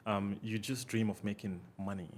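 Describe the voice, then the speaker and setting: A man's voice: lowish voice, fairly even intonation, normal speed, a little hiss or hum. A young man from Cameroon, a podcast guest, speaking earnestly and reflectively with many "you know" fillers. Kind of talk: casual interview talk.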